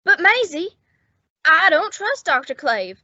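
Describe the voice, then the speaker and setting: Woman doing an earnest bellboy kid for old radio comedy, real high n bright, bit stammery. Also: very animated, unhurried, no room sound, highs cut off, heavily acted. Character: eager, earnest young bellboy sidekick. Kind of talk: old-time radio comedy drama acting.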